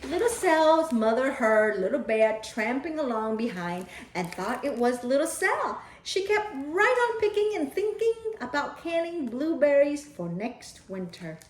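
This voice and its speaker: A woman reading and talking to children as a storyteller, with deliberate, emphatic phrasing. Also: sits high, big pitch swings. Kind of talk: children's read-aloud storytelling. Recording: echoey, roomy sound.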